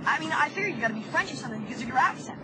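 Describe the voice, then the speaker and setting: A woman's voice: high voice, animated, rapid-fire. An actress playing a feisty, exasperated young female reporter in an action film. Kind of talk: film acting. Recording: very noisy film soundtrack.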